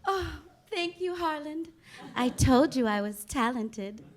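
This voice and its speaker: A woman's voice: high voice, expressive, slow pace. A woman playing an earnest, eager aspiring young actress in a live 1940s-style radio play, gushing and theatrical. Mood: touched, apologetic. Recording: live stage recording.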